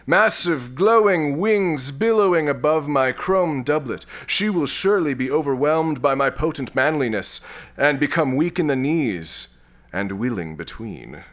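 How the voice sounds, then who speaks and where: An actor playing a vain, lecherous, cowardly space lord in a florid, grandiose manner for a comic sci-fi audio drama. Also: male voice, on the high side, expressive, a little slow, a bit bright. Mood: vain, scheming.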